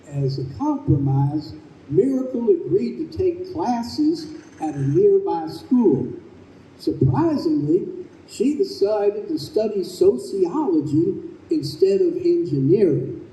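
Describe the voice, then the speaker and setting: A man's voice: high voice, lively intonation, slow, warm tone. A man narrating a scripted old-time radio style drama as a storyteller. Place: convention room stage performance. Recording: echoey room, distant, slightly dull.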